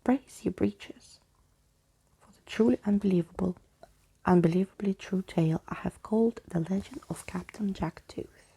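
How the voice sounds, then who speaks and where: A woman playing a grandiose, courtly old male skeleton who tells tall tales in a florid, breathy storybook manner. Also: medium pitch, warm tone, low-quality recording. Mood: boastful, whimsical. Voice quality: breathy, husky. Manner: theatrical, florid, slow.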